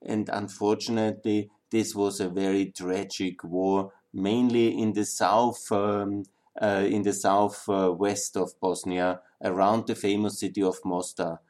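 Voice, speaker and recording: A man from Austria with an Austrian German accent, lecturing an audience in a halting way with many fillers and restarts. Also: on the low side, flat delivery, a little mellow. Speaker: speaker on Balkan history.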